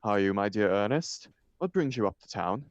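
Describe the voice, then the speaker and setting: A man's voice: on the low side, expressive, a little fast, no echo, highs cut off, close to an everyday voice. A man reading the part of a flippant, idle upper-class bachelor in a stage comedy, with an arch, mock-serious declamatory manner. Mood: breezy, arch.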